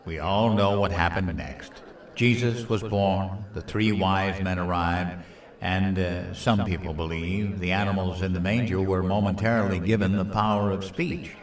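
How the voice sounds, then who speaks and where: An actor playing a clueless, self-assured president in a comedic audio drama, hesitant and earnestly rambling. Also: male voice, sits low, fairly even intonation, normal speed, recorded from a distance, lightly acted. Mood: pompous speechmaking. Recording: roomy echo, off the mic.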